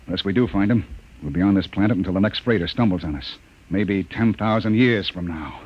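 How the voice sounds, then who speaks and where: An actor playing a brisk, wary spaceship captain giving clipped orders in an old science fiction radio drama. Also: male voice, low-pitched, natural voice. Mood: stern, commanding. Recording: dull, noisy old-time radio recording.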